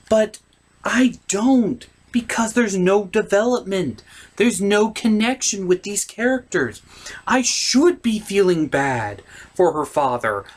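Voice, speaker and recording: A man delivering a scripted comedic movie recap and critique in a sarcastic, emphatic way. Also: high voice. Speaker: comic movie reviewer.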